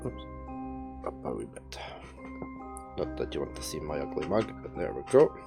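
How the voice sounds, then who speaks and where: A man with a very low, croaky voice, hoarse from a head cold, streams a puzzle game live. He chats casually and thinks out loud as he plays, with soft game music faint underneath. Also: big pitch swings, normal speed. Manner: chatty, thinks aloud while playing.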